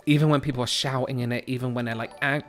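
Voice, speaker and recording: Man, 30s, South London accent, casual streamer chat, headset mic, faint background music. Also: rapid-fire. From England. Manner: chatty, animated, jumps into a high squeal when excited. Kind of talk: casual chat while gaming, reading chat aloud. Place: small room at home. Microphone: headset with boom mic.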